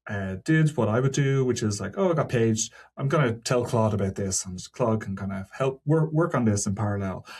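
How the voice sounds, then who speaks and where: A man with a medium-pitched voice talks as a technical guest in an interview. His delivery is informal and discursive. He qualifies his points and drops in asides as he explains. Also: a little mellow, slightly rough. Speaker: podcast guest, senior software engineer. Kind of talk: casual technical interview talk.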